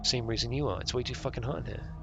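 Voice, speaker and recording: A man's voice: medium pitch, fast talker, creaky, dull audio, lightly acted. A man voicing a mocking, foul-mouthed escaped suspect from a video game, cryptic and overly familiar, with music behind. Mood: taunting.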